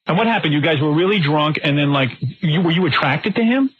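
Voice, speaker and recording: Man, radio host, teasing, blunt, lively rising and falling voice, a bit high, dull lo-fi broadcast sound. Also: fast talker, husky. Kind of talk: casual radio banter.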